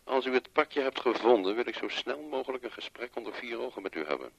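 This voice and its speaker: An actor playing a formal, guarded employee speaking over the telephone in a radio play. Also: male voice, mid-range voice, lively intonation, quick pace, creaky, husky, no echo. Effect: telephone filter. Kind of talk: 1970s Dutch radio play acting. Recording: dull, dry telephone-filtered voice.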